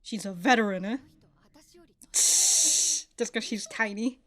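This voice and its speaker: High-voiced woman giving casual reactions. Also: lively intonation, takes it slowly, fairly bright tone. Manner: slangy, frequently swearing. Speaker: reaction video host.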